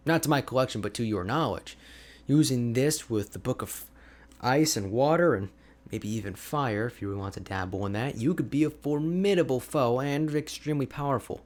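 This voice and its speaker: Man acting as an energetic, friendly ice mage. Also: medium pitch, moderate pace, lightly acted. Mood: amazed. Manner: animated, chatty, informal. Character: energetic young male ice mage, friendly enthusiastic mentor. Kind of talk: ASMR roleplay audio drama, addressing the listener.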